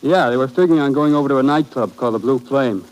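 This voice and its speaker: A medium-pitched voice on a crackly old radio recording, a man acting a young army sergeant. He sounds plain-spoken, slangy and slightly hesitant. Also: fast talker, a little mellow, lightly acted. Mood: uneasy, candid. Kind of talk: 1950s radio drama acting.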